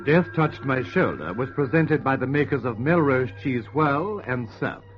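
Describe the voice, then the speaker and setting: A man's voice: mid-range voice, expressive, moderate pace. A man reading scripted continuity announcements for a radio station. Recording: dull old radio recording with music.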